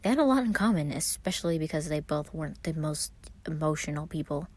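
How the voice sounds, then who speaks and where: A woman's voice: sits low, expressive, quick pace. A woman reading a scripted story aloud as its narrator. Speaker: fan fiction reader.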